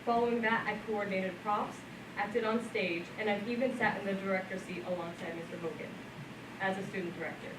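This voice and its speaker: A teenage girl gives composed, articulate prepared remarks in a mid-range voice. She is far from the microphone, so her voice echoes through a large room over a steady wash of noise. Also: mid-range voice, fairly quick. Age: late teens. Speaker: student speaker. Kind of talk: prepared speech at a school event.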